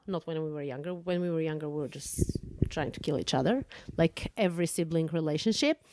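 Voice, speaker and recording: A woman's voice: medium pitch, dry recording. Bulgarian-accented woman, forties, chatty podcast co-host, dry close mic. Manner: chatty, laughs often, searches for words. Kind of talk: casual storytelling.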